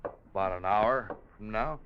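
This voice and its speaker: Actor as a low-voiced, uneasy saloon bartender. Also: male voice, unhurried, background noise, lightly acted. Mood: uneasy, evasive. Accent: American. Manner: hesitant, grudging. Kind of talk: 1950s radio drama acting. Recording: muffled old radio recording.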